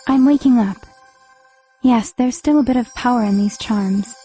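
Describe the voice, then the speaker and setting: A young noble girl mage in a full-cast fantasy audiobook. She is practical and indignant, high and mellow in voice, slightly husky, with faint music behind her. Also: normal speed. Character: plucky captive teenage noble girl mage.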